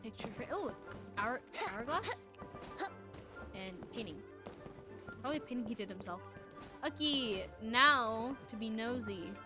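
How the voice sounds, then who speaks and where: Woman, game streamer, fairly high voice, casual muttered commentary, halting read-aloud, music in background. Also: animated. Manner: halting when reading aloud, self-correcting, muttering asides. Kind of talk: casual livestream gameplay commentary, reading in-game text aloud. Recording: music playing behind the voice.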